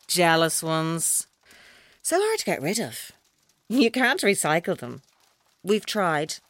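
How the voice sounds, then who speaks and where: An actress playing an intense, coolly menacing woman in a radio drama, speaking in a deliberate, cryptic, taunting way. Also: low voice, a bit bright, no room sound. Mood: bitter, scornful. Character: intense, coolly menacing woman named Kerry, toying with a one-night stand.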